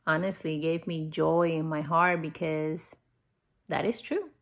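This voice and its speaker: A middle-aged woman speaks English with a foreign accent in a casual, reflective devotional style. Her voice sits a little low and has a lot of creak. She takes her time, talking very slowly and earnestly. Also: steady tone. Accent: non-native English accent. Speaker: host of a Bible-reading vlog. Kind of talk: casual personal devotional talk to viewers.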